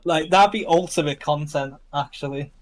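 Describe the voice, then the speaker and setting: A man's voice: fairly high pitch, normal speed. Young man with a Liverpool accent. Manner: informal, slangy. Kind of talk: casual chat.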